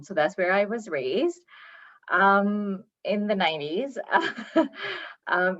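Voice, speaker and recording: A woman's voice: on the low side, highs cut off. A woman in her thirties from Pakistan, chatting as a guest in an interview with lots of ums and small laughs. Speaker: poet and guest. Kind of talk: casual interview talk.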